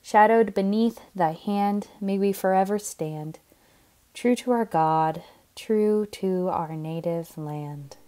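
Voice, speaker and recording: A woman reads verse aloud at a slow pace. Her delivery is solemn and deliberate, with measured phrasing and a medium-pitched voice. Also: warm tone. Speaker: poetry reader. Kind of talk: solemn poetry recitation.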